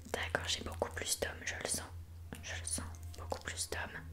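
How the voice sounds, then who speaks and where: A woman playing a mystical female fortune teller in a whispered ASMR roleplay, speaking slowly and soothingly and calling listeners darling. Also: lightly acted.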